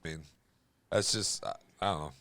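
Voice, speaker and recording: A man's voice: low voice, a little fast, creaky, sharp tone, clean tone, dry recording. A man co-hosting a podcast, chatting in an informal, slangy way. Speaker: podcast co-host. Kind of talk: casual group chat.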